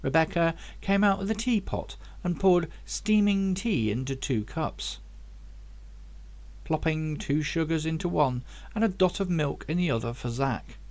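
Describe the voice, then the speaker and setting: A man's voice: fairly high pitch, animated, unhurried, a little mellow. A man reading his own novel aloud as scripted audiobook narration.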